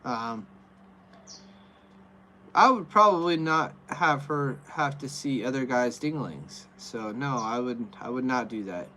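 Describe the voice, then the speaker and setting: A man's voice: mid-range voice, lively intonation, takes it slowly, bright, forceful tone, a little hiss or hum. An American man hosting a livestream, answering viewer comments in a rambling, casual way full of fillers and restarts. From USA. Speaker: livestream host.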